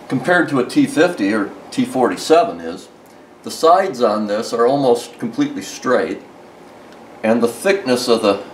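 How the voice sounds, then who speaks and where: A man's voice: mid-range voice, a little hiss or hum, poor audio. An older man explaining a tool in plain, methodical talk, often restarting mid-sentence, recorded inside a car in a garage. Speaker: car restoration video host. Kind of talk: hands-on tool demonstration.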